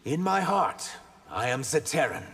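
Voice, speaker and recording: Earnest, guarded reptilian warrior, reverberant. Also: male voice, fairly high pitch, sing-song, very noisy. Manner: measured, formal, dramatic delivery. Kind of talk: video game character acting.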